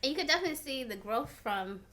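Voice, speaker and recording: A woman with a fairly high voice that swings up and down in pitch. She hosts a casual chat, reading out questions and adding chatty, encouraging remarks. Clear background noise sits under her voice. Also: animated, fast talker, sharp tone. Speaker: podcast host. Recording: noisy recording.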